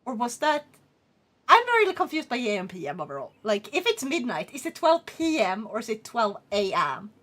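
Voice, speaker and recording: Casting: Swedish woman, native Swedish speaker, rambling casual streamer, high bright voice. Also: moderate pace. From Sweden. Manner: animated, rambling, swears, laughs often. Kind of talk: casual livestream chat.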